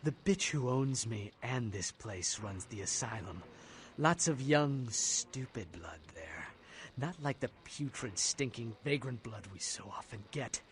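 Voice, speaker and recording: An actor playing a creepy, sardonic blood dealer in a video game, muttering and sneering with erratic swings from loud to hushed. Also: male voice, mid-range voice, animated, a little slow, a little hiss or hum, close to an everyday voice. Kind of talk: video game character acting.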